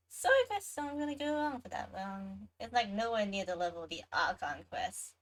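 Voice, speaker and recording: A woman's voice: mid-range voice, lively intonation, moderate pace, a bit bright. A woman hosting a livestream, chatting casually and reacting to a game in an easygoing, hesitant way that trails off between thoughts. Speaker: livestreamer, VTuber host.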